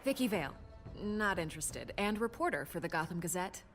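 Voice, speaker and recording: A dry, probing game reporter. Her pitch moves lively over soft music. She speaks with a pointed edge. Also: a little fast. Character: sharp, sardonic female reporter.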